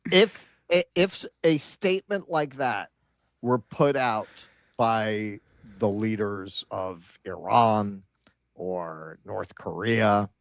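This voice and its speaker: A man co-hosting a political show, giving casual, sardonic commentary with halting, repeated starts. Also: lowish voice, vocal fry, no echo, lo-fi sound. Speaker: political talk show co-host.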